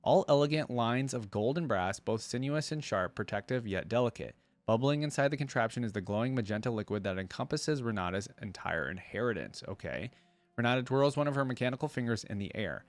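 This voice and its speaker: A male reaction host with a mid-pitched voice reads lore and comments on it. His delivery is conversational and explanatory, in a very dry recording. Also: expressive, brisk, no echo. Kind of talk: reading lore aloud with casual commentary.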